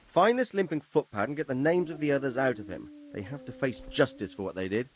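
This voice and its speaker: A medieval lord for a video game cutscene. His voice sits at a medium pitch. He speaks in formal, measured sentences, grave and commanding, with firm authority. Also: expressive. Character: authoritative nobleman lord, measured, grave, stern about justice.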